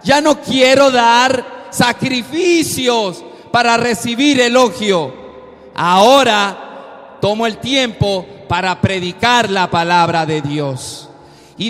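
Male preacher, very high voice, slow emphatic delivery with shouted peaks, long hall reverb. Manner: slow, emphatic exhortation with sudden loud peaks. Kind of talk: sermon.

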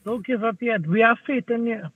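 A man's voice: extremely high pitch, fairly quick, breathy edge, no echo, soft background music. A man hosting a casual talk show, teasing his co-hosts in a playful way. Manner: playful, teasing, Caribbean English phrasing. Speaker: show host. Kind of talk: casual chat. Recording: tinny phone-like line.

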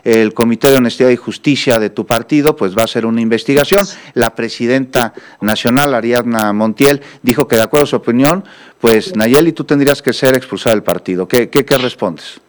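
A man's voice: medium pitch, brisk, clear, resonant tone. A man hosting a news interview, pressing his guest with pointed questions and adding critical commentary.

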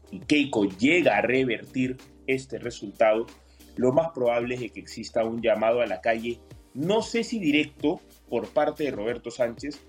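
A man from Peru giving measured political analysis as an interview guest, with many hesitations. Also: mid-range voice. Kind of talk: interview on air.

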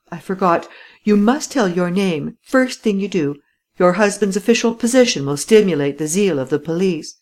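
A woman reading an audiobook voices a clever gentleman thief who gives brisk, imperious instructions in a slightly raised voice. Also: lowish voice, natural voice. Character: cool, commanding gentleman thief, clever and persuasive.

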